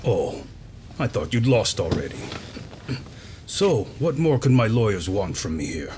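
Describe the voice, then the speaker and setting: A smug, mocking prisoner from a video game, deep-voiced and theatrical. His pitch swings widely with sarcasm, over clearly audible background noise. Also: very animated, measured pace. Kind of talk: English video game dub acting.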